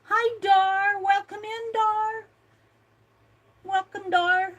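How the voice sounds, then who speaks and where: An older woman hosting an art livestream, chatting slowly and reading out viewer comments, with many fillers and restarts. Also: very high-pitched, steady tone, a bit bright, highs cut off. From United States.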